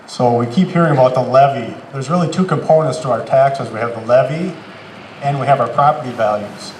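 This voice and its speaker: A man's voice: medium pitch, brisk. A man methodically explaining his answer as a candidate at an outdoor forum. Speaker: city council candidate. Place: outdoors in a town park. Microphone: public address microphone. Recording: echoey outdoor PA with faint noise.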